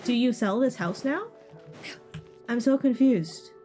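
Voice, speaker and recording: A woman's voice: a little high, animated, measured pace, warm tone. A woman giving casual commentary as she livestreams a video game. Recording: streamer mic over game music. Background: game music.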